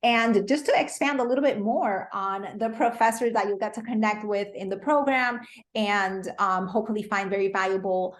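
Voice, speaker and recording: A woman hosting an online information session in a polite, semi-formal way, halting and repeating phrases as she goes. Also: mid-range voice. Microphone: video call. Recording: roomy, echoey video call.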